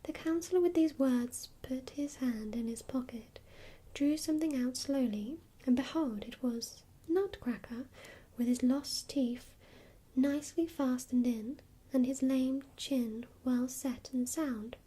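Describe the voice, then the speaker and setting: A woman reading a storybook aloud in a soft, even whisper, unhurried throughout. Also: sits high, husky.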